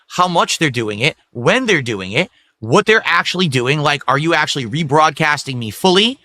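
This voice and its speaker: A male streamer talks to his chat in a defiant, rambling way, with plenty of swearing. His voice is bright and sharp and slightly high for a man. It sounds dry and close, with no room behind it. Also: no echo. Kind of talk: livestream talk to chat.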